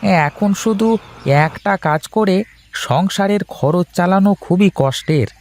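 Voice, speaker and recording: A man's voice: high-pitched, unhurried, darkish tone, slight background noise. Man playing a humble, hesitant cartoon tea seller. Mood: sympathetic, advising. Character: sympathetic village-style male tea seller, humble, deferential. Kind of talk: Bengali cartoon voice acting.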